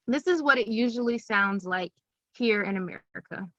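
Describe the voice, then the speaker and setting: A woman's voice: mid-range voice, expressive, moderate pace, pushed and bright, no echo, highs cut off. A young woman giving an upbeat, teacherly presentation to schoolchildren, explaining things plainly. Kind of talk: recorded classroom presentation.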